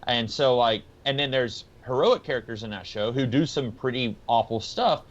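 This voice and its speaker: A man's voice: medium pitch, moderate pace, highs cut off. A man co-hosting a podcast, explaining his thoughts in a casual, rambling, tentative way. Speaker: podcast co-host. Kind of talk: casual discussion of books and comics.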